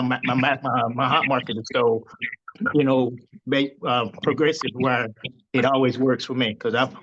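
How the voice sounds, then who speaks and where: A man on a group call casually sharing sales tips in a relaxed, rambling way. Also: mid-range voice, lo-fi sound. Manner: relaxed, rambling, repeats words.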